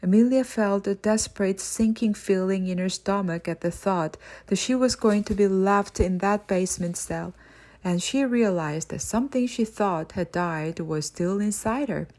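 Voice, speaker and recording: A woman reading a children's audiobook as narrator, in an expressive storytelling style. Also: mid-range voice, moderate pace.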